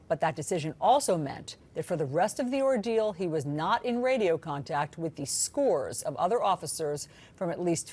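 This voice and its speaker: Lowish woman anchor, reading the report then asking the guest stuff. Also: a little mellow. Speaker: news anchor. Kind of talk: news anchoring, reading quotes.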